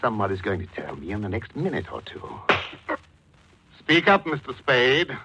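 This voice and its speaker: An actor playing a florid, courteous and menacing blackmailer with pompous, theatrical diction in a 1940s radio mystery. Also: male voice, medium pitch, sing-song, normal speed, very noisy. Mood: insistent, threatening. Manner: pompous, elaborately polite, theatrical. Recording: dull 1940s radio recording.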